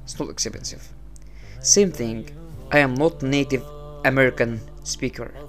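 Slow-talking Egyptian man with halting speech. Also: medium pitch, soft background music. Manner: halting, repeats words, non-native phrasing. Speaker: podcast host. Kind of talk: casual motivational monologue. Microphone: small cheap mic.

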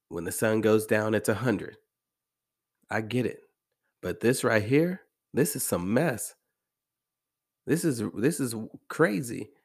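A low-pitched American man delivers a casual, motivational podcast monologue. He sounds congested and a little hoarse, as if from allergies. He talks conversationally, often repeating a phrase to drive it home. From American Midwest. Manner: conversational, emphatic repetition. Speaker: podcast host.

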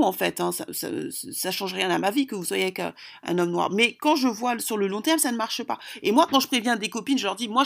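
Gravelly-edged woman giving insistent advice. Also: medium pitch, fairly bright tone. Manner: repetitive, emphatic, insistent. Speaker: relationship advice vlogger. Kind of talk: informal opinionated advice monologue to followers.

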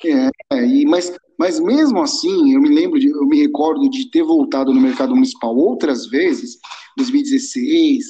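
Animated rambling podcast host. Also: male voice, a little high, moderate pace. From São Paulo, Brazil. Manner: animated, rambling, swears freely. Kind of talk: casual chat.

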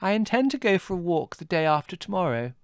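A man's voice: fairly high pitch, very animated, moderate pace, no echo, clean studio sound, pitched above the performer's own voice. A man voicing a haughty young woman who speaks in an airy, arch, easily offended way.

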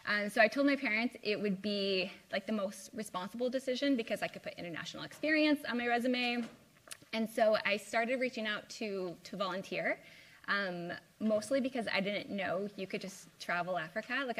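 Young midwest woman giving a casual talk to students, lots of likes and ums, middle pitch. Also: fairly bright tone. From Midwest US (Indiana). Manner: chatty, lots of "like" and "um". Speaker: guest speaker. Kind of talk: informal live talk with slides. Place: university lecture room.

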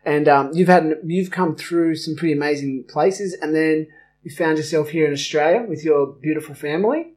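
A male host with a somewhat high voice, running a casual podcast interview. He talks in a friendly, rambling way and fills his questions with "um" and restarts. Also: moderate pace, a little mellow.

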